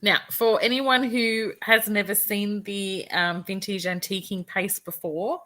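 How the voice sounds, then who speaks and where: Bright-voiced Australian woman explaining crafts. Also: mid-range voice, fairly even intonation, a little slow. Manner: friendly, explains products step by step. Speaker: co-host. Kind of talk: live crafting demo chat.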